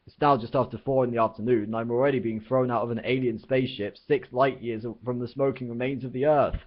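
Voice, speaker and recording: A man's voice: mid-range voice, expressive, a little fast, vocal fry, husky, muffled recording, voice pushed up. A man voicing an indignant, panicky Englishman who splutters in a raised voice. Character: panicky indignant Englishman, adult male, fussy.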